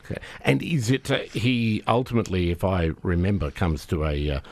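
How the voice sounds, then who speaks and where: A middle-aged Australian man presenting and interviewing in a conversational radio style, with hesitant, halting phrasing. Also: sits low, animated, darkish tone, dry recording. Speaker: radio host interviewing guests. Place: radio studio.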